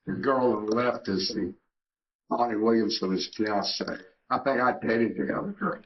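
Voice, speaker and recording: An older man, a Navy veteran, reminiscing in an interview, halting with many fillers and restarts. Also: lowish voice, highs cut off, a little hiss or hum.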